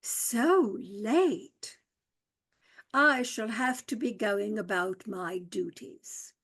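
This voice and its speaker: A woman playing a stately, fussy old male butler who speaks slowly and formally. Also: mid-range voice, animated, fairly bright tone, full character voice. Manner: slow, formal and ponderous. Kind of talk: radio play acting.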